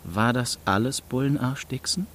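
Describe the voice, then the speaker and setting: A man's voice: mid-range voice, normal speed, fairly bright tone. A man reading an audiobook in the first person as a jaded teenager, sardonic and terse, with faint noise behind. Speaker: audiobook narrator.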